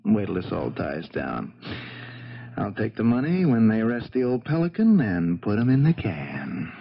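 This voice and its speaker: An actor playing a scheming, cautious lover and accomplice in a 1970s radio drama. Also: male voice, lowish voice, big pitch swings, moderate pace, gravelly, exaggerated voice. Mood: suspicious, calculating. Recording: dull vintage radio recording.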